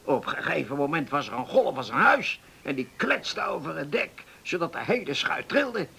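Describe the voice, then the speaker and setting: Old man in his seventies, higher-pitched, lively intonation, folksy rambling storyteller, repeats himself, radio drama, faint noise. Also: vocal fry, breathy edge, heavily acted. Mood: animated storytelling. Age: 70s. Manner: folksy, halting, repeats himself, verbal tics. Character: old Dutch islander man, garrulous, reminiscing storyteller. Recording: noisy old radio play recording.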